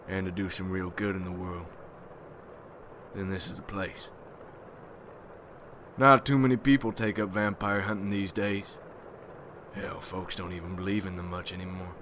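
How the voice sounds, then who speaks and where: Man playing a low, slow, gruff vampire hunter. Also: fairly bright tone. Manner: folksy, brooding, rough-spoken. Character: gruff, ruthless, wary male vampire hunter guild leader. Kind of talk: ASMR roleplay acting. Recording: noisy ambience behind the voice.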